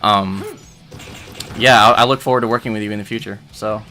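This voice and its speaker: A man hosting a stream in casual chat, joking and somewhat halting in how he talks. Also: on the low side, steady tone, bright, forceful tone. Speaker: stream host and director. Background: faint music.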